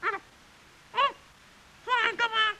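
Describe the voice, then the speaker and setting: A performer playing a grumpy but loyal male talking bird in a children's radio play, with a sharp, squawky voice and indignant delivery. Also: very high-pitched, level tone, slow pace, exaggerated voice. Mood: reluctant, grumbling.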